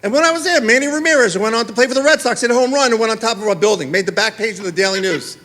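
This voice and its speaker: A middle-aged man, telling a stand-up story on a theater stage and acting out the dialogue in quick back-and-forth. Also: extremely high pitch. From Queens, New York. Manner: animated, acts out dialogue in quick exchanges. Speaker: stand-up comedian.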